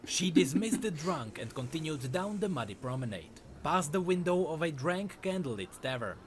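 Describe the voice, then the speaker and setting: A man narrating a dark fantasy story from a script in a dramatic storytelling style. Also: fairly high pitch, big pitch swings, moderate pace, a crowd in the background. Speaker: lore narrator.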